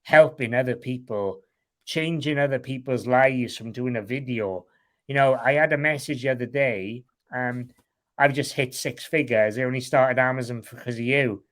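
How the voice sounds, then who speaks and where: Welsh man, plain mid pitch, candid guest in a loose chat, swears freely. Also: moderate pace. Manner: frank and rambling, swears casually. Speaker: guest, online seller.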